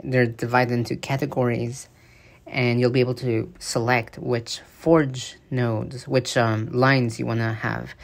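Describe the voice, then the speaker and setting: A man's voice: medium pitch, level tone, measured pace, creaky. A man giving casual, loose commentary on new game update features, with many fillers and restarts. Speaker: gaming news video creator. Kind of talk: casual explanatory commentary over gameplay footage.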